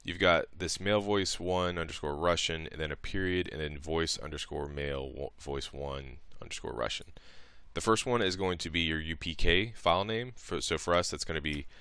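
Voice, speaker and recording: A man presenting a casual, step-by-step software tutorial in an informal, explanatory way. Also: rumbling low, normal speed, clean tone, no echo.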